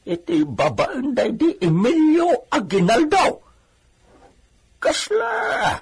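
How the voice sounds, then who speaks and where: A man playing a boastful, excitable old village man spinning war tales in an Ilocano comedy radio drama. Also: extremely high pitch, breathy edge, heavily acted.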